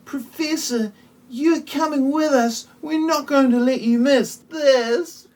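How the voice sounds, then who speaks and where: A woman's voice: high-pitched, slow pace, darkish tone. A woman voicing an earnest, awestruck youngster in a parody audio play. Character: earnest, awestruck young member of a gang of kids.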